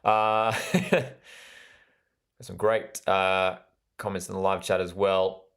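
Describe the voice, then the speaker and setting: Low-voiced Australian male football commentator. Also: flat delivery, very slow, fairly bright tone, clean tone. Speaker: host of a live football watchalong. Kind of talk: live sports commentary with chat interaction.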